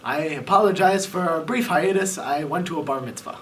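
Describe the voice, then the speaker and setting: A man hosting a storytime show, reading a children's book aloud in a low-key, deadpan way. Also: moderate pace. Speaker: storyteller host. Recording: voice a bit far from the mic, faint noise.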